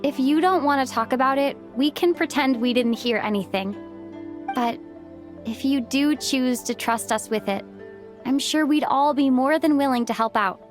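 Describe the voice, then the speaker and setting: A woman playing a gentle, supportive grown-up companion to young witches in a video game. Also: high-pitched, normal speed, lightly acted. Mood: gentle, reassuring. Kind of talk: video game character acting. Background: faint background music.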